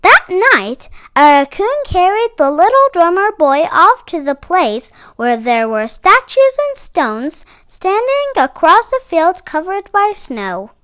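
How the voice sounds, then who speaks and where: A woman's voice: extremely high pitch, big pitch swings, takes it slowly, hardly any pauses, a bit bright. A woman narrating a children's picture book expressively, with dramatic phrasing. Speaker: read-aloud storyteller for children.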